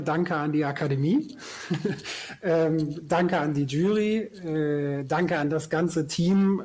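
A man's voice: a little high, a little mellow. A man from a radio drama department giving an informal thank-you speech.

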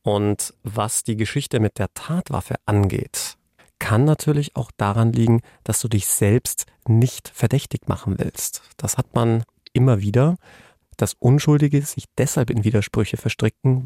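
A man's voice: lowish voice, a little slow, mellow, no room sound, studio quality. A man giving explanatory legal commentary in a podcast discussion.

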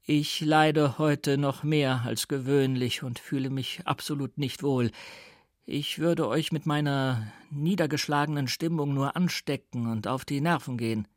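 Reserved nobleman, read by a male actor, subdued and formal, medium pitch, audiobook character. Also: a little slow, no echo, clean studio sound, close to an everyday voice. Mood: subdued politeness. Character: melancholy, reserved count musing and feigning another's identity.

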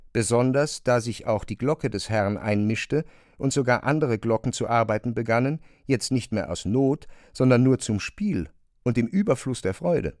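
A man narrates literary prose in a scripted radio drama. His mid-pitched voice moves widely up and down, and the sound is close and dry, with almost no room on it. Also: darkish tone, no room sound.

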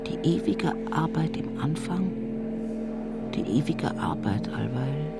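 A woman's voice: low voice, animated, slow, vocal fry, over loud music, exaggerated voice. An actress playing an old, toil-worn rural mother with an Upper Palatinate Bavarian accent and a cracked voice, resigned and earthy, in a radio play. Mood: weary, resigned. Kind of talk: dramatic radio play monologue.

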